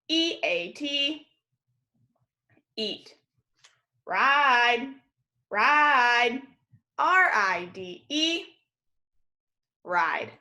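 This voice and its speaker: A woman's voice: high voice, sharp tone. An American woman in her thirties teaching young children as a kindergarten teacher, encouraging and enunciating with exaggerated care in a call-and-repeat drill.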